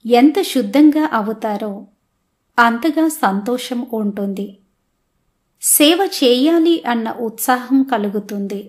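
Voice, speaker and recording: A woman reading scripture as a religious reader, in a measured, deliberate devotional style. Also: a little high, animated.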